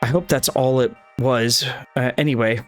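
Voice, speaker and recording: A man's voice: mid-range voice, steady tone, normal speed, soft background music. A man reading a visual novel's dialogue and narration aloud for a let's play video, sometimes tripping over lines and correcting himself.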